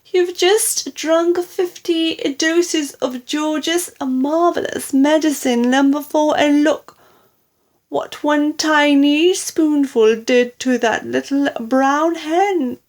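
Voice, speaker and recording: A woman's voice: a little high, animated, measured pace, darkish tone, voice pushed up. A woman voicing an anxious, flustered mother in a storybook reading, crying out and pleading in a wail. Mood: frantic, pleading. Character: anxious, wailing mother, frantic and easily flustered.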